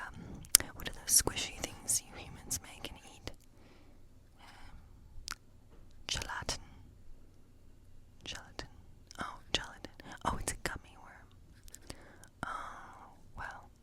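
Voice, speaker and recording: A woman playing a quirky, playfully spooky witch in a whispered ASMR role play, murmuring haltingly and repeating words to herself. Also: lightly acted. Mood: curious, musing. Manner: hushed, halting, muttering repetitions to herself.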